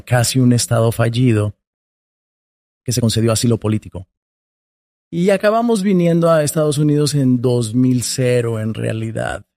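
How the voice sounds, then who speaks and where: Guy in his 40s, warm storyteller, dark mellow tone. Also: mid-range voice, dry recording, studio quality. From Colombia. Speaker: podcast guest. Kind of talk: telling his life story in an interview.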